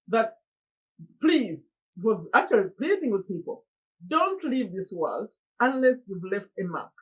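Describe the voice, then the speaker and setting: A middle-aged woman from Uganda with a Ugandan accent, talking earnestly to her viewers in a motivational monologue, often pleading and with sudden high exclamations. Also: low-quality recording. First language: Luganda. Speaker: motivational YouTuber.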